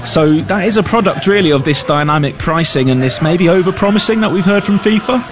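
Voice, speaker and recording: A man's voice: sits high, a little fast, music in the background. A man reporting live on business news, talking loosely with fillers and restarts. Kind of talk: live news cross, conversational.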